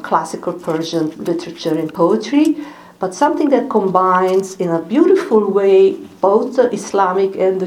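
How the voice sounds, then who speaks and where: A woman in her sixties speaking with a Hungarian accent, addressing an evening audience as a scholar. Her delivery is thoughtful and unhurried. She often pauses briefly to find the next word. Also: mid-range voice, poor audio. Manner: thoughtful, unhurried, many small pauses and fillers. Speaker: scholar giving a lecture. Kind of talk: public talk at an evening event.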